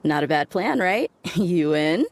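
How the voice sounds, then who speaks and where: A woman's voice: lowish voice, expressive, unhurried, a little hiss or hum. A woman playing a breezy, cocky desert mercenary in a video game, talking in a casual, teasing way. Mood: playful, teasing.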